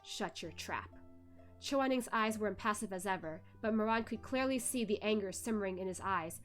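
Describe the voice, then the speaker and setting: Female narrator, mid-pitched, dramatic fantasy web-novel read-aloud with soft music underneath. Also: fairly quick.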